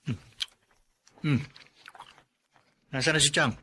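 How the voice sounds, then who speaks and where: An actor playing a caring, protective young husband in a radio drama. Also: male voice, fairly high pitch, slow, sharp tone, husky, exaggerated voice. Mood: questioning.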